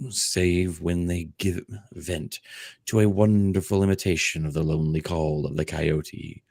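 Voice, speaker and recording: Slow, low-voiced man reading aloud. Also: no echo, studio quality. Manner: jokey, breaking off for ad-libs and laughter. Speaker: host doing a dramatic reading. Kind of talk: comedic dramatic book reading with joking asides.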